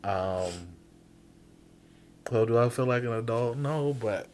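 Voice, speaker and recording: A man in his thirties co-hosting a podcast, musing in a laid-back casual way that now and then breaks into loud laughing rants. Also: mid-range voice, slow. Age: 30s. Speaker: podcast co-host. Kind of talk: casual chat.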